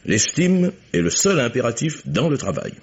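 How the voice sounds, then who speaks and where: An actor playing a proud, guarded chief engineer in a radio mystery, speaking formally and in measured, clipped statements. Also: male voice, mid-range voice, big pitch swings, breathy edge. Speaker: actor in a radio crime drama. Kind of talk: French radio mystery acting. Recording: muffled old radio recording.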